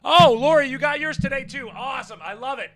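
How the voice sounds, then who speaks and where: A man hosting a casual livestream, hoarse from yelling and talking in a rambling, swearing, chatty way with loud bursts of excitement. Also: very high-pitched, a little fast. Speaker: livestream show host. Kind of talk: casual livestream chat with viewers.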